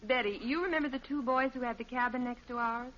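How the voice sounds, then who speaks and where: An actress playing a patient, gently chiding middle-aged American wife and mother in a 1950s radio sitcom. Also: fairly high pitch, quick pace, slight background noise, lightly acted. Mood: chatty. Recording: dull old radio recording.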